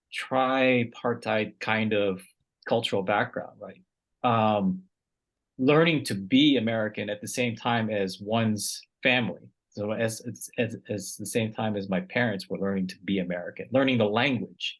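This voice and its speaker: A man from Vietnam, a researcher guest in a casual interview, speaking thoughtfully and often repeating words as he searches for the right phrasing. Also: medium pitch.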